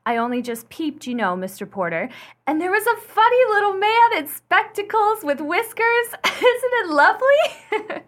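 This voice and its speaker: Casting: woman to play playful young revue actress for radio play, high voice, leisurely delivery. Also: sing-song, unhurried, heavily acted. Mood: giddy, delighted. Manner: coy, playful, exclamatory.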